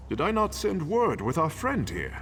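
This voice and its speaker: An actor playing a shrewd, solemn resistance mentor in a fantasy video game, creaky and deliberate. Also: male voice, on the high side, huge pitch swings, fairly bright tone, a little hiss or hum, full character voice. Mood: calm, assured. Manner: deliberate, theatrical. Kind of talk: video game character acting.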